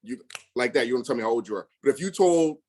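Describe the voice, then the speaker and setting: A man's voice: mid-range voice, animated, very fast, a little mellow. A man talking casually and bluntly, full of slang and emphasis, acting out past conversations in quotes as he tells stories. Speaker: real estate investor.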